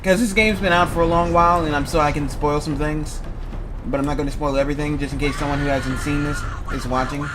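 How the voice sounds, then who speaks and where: A man's voice: on the high side, steady tone, fast talker, background noise. A man from Louisiana, giving casual, rambling let's play commentary. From Louisiana, United States. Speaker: gaming video host. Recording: game music clearly behind. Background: game music.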